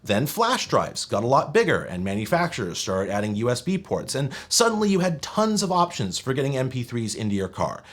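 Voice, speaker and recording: Male video essayist, mid-range voice, expressive melody, wry scripted delivery with strong emphasis and opinions. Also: medium pitch, brisk, few pauses. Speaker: video essay host and narrator. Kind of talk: scripted tech history narration.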